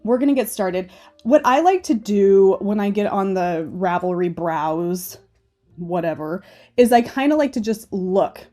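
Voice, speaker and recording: A woman's voice: mid-range voice, expressive, measured pace, warm tone, soft background music. A woman hosting a knitting channel, chatting casually and opinionated while browsing on screen, a little stuffy and nasal. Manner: chatty and opinionated, often asking "okay?". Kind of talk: casual screen-share commentary.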